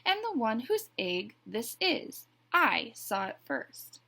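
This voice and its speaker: A woman's voice: extremely high pitch, sing-song, measured pace, gravelly, fairly bright tone, voice pushed up, exaggerated voice. A woman voicing a bossy, indignant male duck in a children's picture book, scolding in a huffy, exclamatory way.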